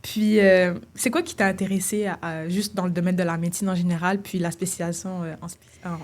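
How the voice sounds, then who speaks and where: A woman's voice: on the low side, flat delivery, a little fast, a little mellow. A young woman hosting a student podcast, asking informal, friendly questions with some fillers. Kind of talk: podcast interview.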